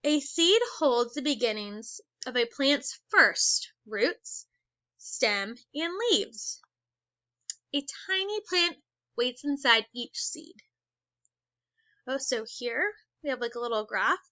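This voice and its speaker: Woman teacher, bright and a bit high, reads a lesson aloud slowly and asks kids questions; dry, slightly dull recording. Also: animated, no echo. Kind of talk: read-aloud lesson for children.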